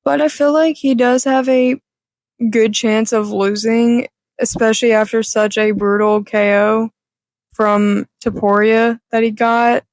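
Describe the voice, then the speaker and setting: A woman with a raspy voice giving a candid, rambling rant to her channel, swearing casually. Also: on the high side, no room sound. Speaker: YouTuber.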